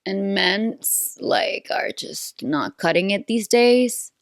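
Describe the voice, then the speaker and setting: A woman's voice: medium pitch, lively intonation, slow pace, dry recording. Woman in her thirties, Venezuelan accent, native Spanish speaker, chatty podcast host, playful asides, dry close sound. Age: 30s. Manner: chatty, playful, self-aware, often asks "right?". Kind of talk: casual solo podcast chat.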